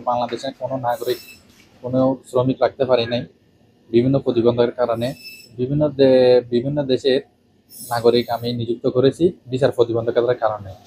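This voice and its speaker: A man speaks in a flat, middle-pitched voice as an interviewed business owner. His delivery is formal, stiff and halting, with faint noise behind him. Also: rough recording.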